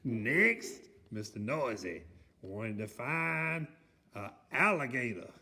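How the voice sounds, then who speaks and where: A man voicing a silly, excitable alligator who reads a storybook theatrically and bumbles his words. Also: medium pitch, slow pace, exaggerated voice. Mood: storytelling. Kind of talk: comic dramatic storybook reading.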